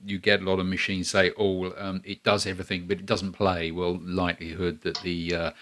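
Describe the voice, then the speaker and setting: A man's voice: low voice, flat delivery, fairly quick, fairly bright tone. A man hosting a podcast in a conversational interview style. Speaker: podcast host.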